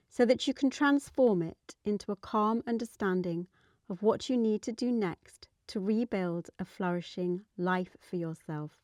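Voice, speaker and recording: A woman leading a guided meditation in a soothing, gentle manner, letting her phrases trail off softly. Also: medium pitch, no room sound, clean studio sound.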